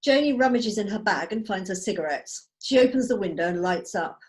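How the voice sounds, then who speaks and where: Woman narrating a script, stage directions, mid pitch, on a video call. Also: animated, a little fast.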